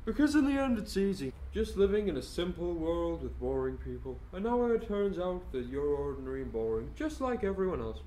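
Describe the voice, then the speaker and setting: A man's voice: fairly high pitch, very animated, brisk, hardly any pauses, a little hiss or hum, poor audio. A man playing a bored, taunting, unhinged criminal mastermind in an amateur audio drama, theatrical and mocking. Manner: theatrical, mocking, swooping between hush and outburst.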